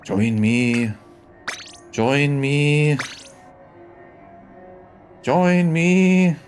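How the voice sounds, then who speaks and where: A man's voice: fairly high pitch, animated, drawn-out words. Chatty German-native man streaming a game. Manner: chatty, reads chat aloud, shrieks when hit in game. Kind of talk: casual live-stream chat while gaming. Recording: loud game music behind.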